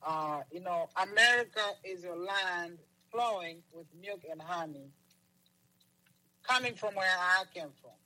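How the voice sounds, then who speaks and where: A man from Zambia, a guest on a talk show, answering in a halting way with many restarts. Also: sits high, bright, forceful tone, poor audio. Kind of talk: interview answers.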